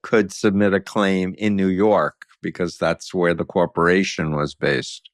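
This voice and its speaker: A man's voice: deep voice, level tone, measured pace, no room sound, studio quality. A man hosting a podcast interview, speaking earnestly and sometimes repeating words as he starts. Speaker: podcast host. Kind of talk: interview conversation.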